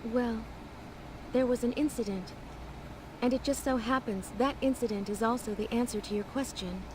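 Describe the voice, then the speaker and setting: A woman voicing a calm, courteous second-in-command of a spaceship in a video game, speaking in a measured way. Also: a little high, background noise, close to an everyday voice. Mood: explanatory, calm. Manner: measured, polite, composed.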